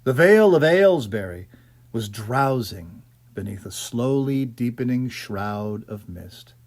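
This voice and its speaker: Expressive, unhurried male storyteller with swooping pitch. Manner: theatrical, measured storytelling. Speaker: audiobook narrator. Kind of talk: scripted dramatic narration of a ghost story.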